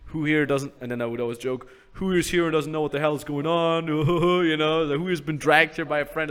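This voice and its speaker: A man with a slightly high voice explains sales steps in a casual podcast chat. He walks through each stage in order, often slips into acted sample pitches, and tags his points with a quick "right?". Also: a bit bright, clear, resonant tone. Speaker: business coach host. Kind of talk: casual advice conversation.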